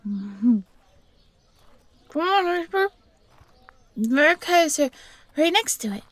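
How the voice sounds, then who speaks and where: A woman voicing a young fox girl, timid but eager and grateful, soft and breathy, in a scripted audio roleplay. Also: sits high, very animated, takes it slowly, no room sound, full character voice. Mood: curious, helpful.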